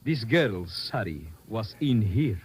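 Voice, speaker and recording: An actor playing a wheedling little bar musician and informant in old-time radio drama. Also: male voice, fairly low pitch, very animated, takes it slowly, breathy edge, exaggerated voice. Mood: sly, ingratiating.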